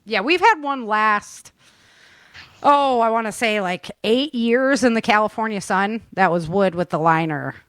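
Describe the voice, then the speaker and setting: A woman's voice: medium pitch, unhurried, fairly bright tone, clear, resonant tone, dry recording, studio quality. A woman hosting a casual interview, chatting in an encouraging, affirming way with her guest. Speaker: podcast host interviewing a guest.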